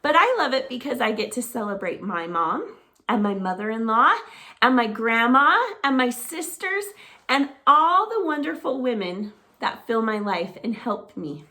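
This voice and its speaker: A middle-aged woman teaching preschoolers, talking to small children in an animated, sing-song teacher voice. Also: fairly high pitch, normal speed. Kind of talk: children's circle-time lesson to camera.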